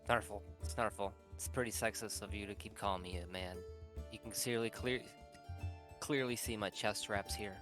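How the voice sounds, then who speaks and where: A man's voice: on the low side, steady tone, moderate pace, music in the background. Stammering man playing in a tabletop game. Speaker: player. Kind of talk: improvised tabletop roleplay.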